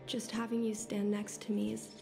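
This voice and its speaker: An actress voicing an earnest young daughter in a video game, determined and affectionate. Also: female voice, mid-range voice, monotone, moderate pace, vocal fry, over music, close to an everyday voice. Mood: earnest, gentle.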